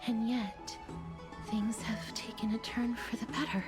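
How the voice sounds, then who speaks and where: A gentle, melancholy young woman from a fantasy game, played by an actress, speaks in a soft, breathy voice. Her pitch sits fairly high and she takes her words slowly and solemnly, with music clearly behind her. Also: steady tone, natural voice. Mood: quietly hopeful. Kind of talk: dramatic game voice acting.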